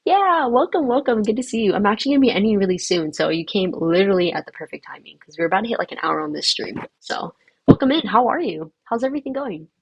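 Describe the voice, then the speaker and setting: A woman's voice: mid-range voice, very animated, fast, a little hiss or hum, poor audio. A young woman whose first language is Vietnamese, hosting a livestream in casual, upbeat chat with viewers, with many fillers. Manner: chatty and upbeat, lots of fillers. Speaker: livestream host.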